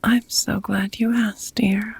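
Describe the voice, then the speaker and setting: A woman playing a seductive, doting vampire roommate in an intimate ASMR romance roleplay, whispering softly with teasing, formal endearments. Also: mid-range voice, little pitch movement, full character voice. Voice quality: soft, breathy whispering. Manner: slow, sultry, formal wording with pet names.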